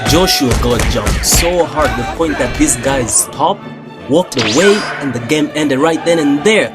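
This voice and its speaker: A young man from Tanzania, telling funny childhood stories in a slangy, animated way. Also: fairly high pitch, unhurried, loud music behind the voice. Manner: animated, slangy, playful delivery with dramatic swings. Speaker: animator narrating his own channel. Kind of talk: comedic storytime narration.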